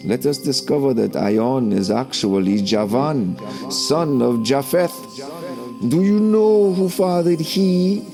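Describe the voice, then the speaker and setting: A man narrating a scripted spiritual lesson in a deliberate, declamatory, solemn way, like a preaching spoken-word teacher. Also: medium pitch, lively intonation, vocal fry. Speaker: narrator and host of a spiritual story series. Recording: voice over background music. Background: music.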